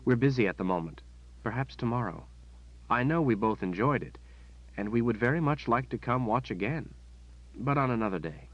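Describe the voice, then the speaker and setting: Man playing a composed noble lady, firm and controlled, lifted a little higher than his own voice. Dull, dry studio sound. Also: expressive, moderate pace, lightly acted. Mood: gentle. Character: commanding young noble woman, calm, steely.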